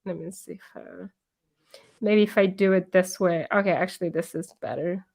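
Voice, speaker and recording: A woman with a hoarse voice from being sick, hosting a casual live-streamed interview over a video call. She giggles often and chats loosely with many fillers. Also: fairly low pitch, normal speed, a little mellow. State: hoarse from illness. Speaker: interview host. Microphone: computer mic on a video call.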